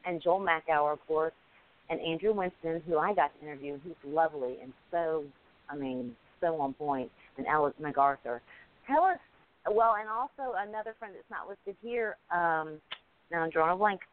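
A fairly high-voiced man from Alabama hosts a casual chat, joking easily and fumbling for names along the way. His voice comes through thin and phone-like. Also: moderate pace. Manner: chatty, joking, loses his train of thought. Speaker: interview host. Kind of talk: casual conversational interview. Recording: tinny phone-like line.

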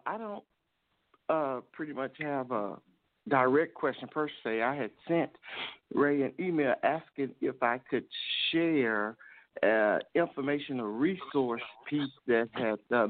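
A man calling in by phone, explaining himself politely and haltingly over a narrow, slightly noisy line. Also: medium pitch. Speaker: caller.

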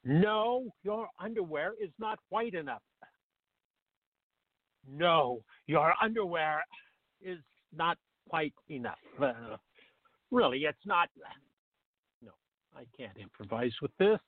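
A high, animated actor plays a dim young bachelor who dreams of acting, cheerful and earnest in a radio farce. He delivers lines slowly and goofily. The sound is narrow and phone-like. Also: no echo. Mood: earnest rehearsing. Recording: muffled, phone-like narrow-band audio.

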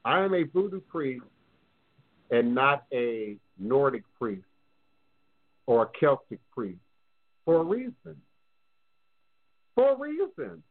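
A man's voice: medium pitch, very animated, slow, long pauses. A man with a New Orleans accent, hosting a live call-in talk show as a voodoo priest, speaking emphatically and repeating phrases for stress. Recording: lo-fi phone-line sound.

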